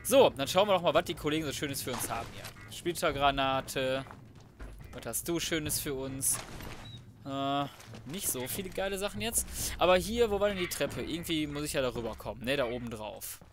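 A man's voice: a little high, animated, moderate pace, background noise. A man giving casual gaming commentary, thinking aloud in a colloquial, cheeky way. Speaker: let's player.

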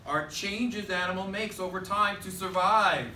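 A man's voice: sits high, unhurried. A man, a third grade teacher, giving classroom instruction in a projected, directive way with short prompts and call-and-response. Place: school classroom, indoors. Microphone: distant camera at back of room. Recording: echoey classroom, distant mic, faint noise.

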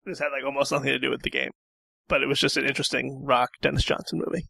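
Bright, creaky, higher-pitched man chatting. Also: little pitch movement, rapid-fire, no echo, studio quality. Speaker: podcast guest panelist. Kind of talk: casual film discussion.

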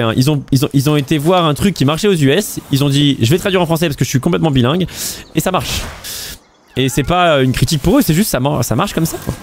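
Chatty higher-voiced man streamer. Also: slight background noise. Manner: rambling, repeats words and fillers; swears casually. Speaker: streamer host. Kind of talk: casual chat while gaming.

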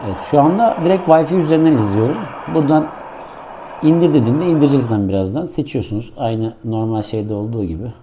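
A man's voice: medium pitch, lively intonation, fast, background noise. A man doing a casual hands-on product review, explaining things step by step in an informal, improvised way. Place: indoor room.

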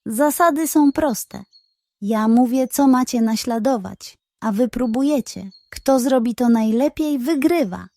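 A woman voicing a sly, self-satisfied male pug, boastful and excitable, in a children's audiobook. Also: on the high side, measured pace, no echo, studio quality. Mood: brisk, evasive.

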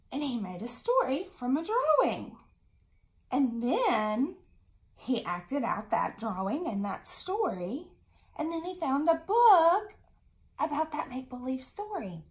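A woman in her thirties speaks like an elementary teacher to young children. Her voice sits a little high and she goes very slowly, with a deliberate, encouraging manner suited to a kids' lesson. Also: very animated. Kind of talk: children's video mini lesson.

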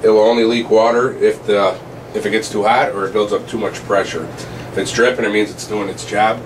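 A man's voice: lowish voice, level tone, fairly quick. A middle-aged man, a plumber, casually walking through shut-off valves and showing how they work, step by step. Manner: casual, plain-spoken, explaining step by step. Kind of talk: walkthrough training demo. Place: utility rooms of a commercial building, indoors. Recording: distant camera mic with air conditioning noise.

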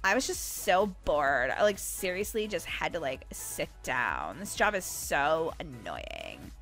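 A woman's voice: sits low, wildly swooping pitch, unhurried, fairly bright tone, soft background music, exaggerated voice. A woman playing a bored, surly young counter worker with a sulky drawl in a gaming roleplay.

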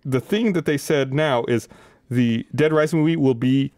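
A man's voice: medium pitch, normal speed, a little mellow, no echo, studio quality. A man, chatting casually about gaming news as a podcast host. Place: indoor podcast studio. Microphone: close studio microphone on desk.

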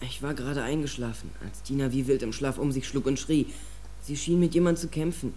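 A man's voice: medium pitch, steady tone, fairly quick, mellow, close to an everyday voice. A man plays a haunted teenage boy in an audio drama. He sounds agitated and defensive, with anguish in his voice. There is a faint hum behind him. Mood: troubled. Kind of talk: German audio drama acting.

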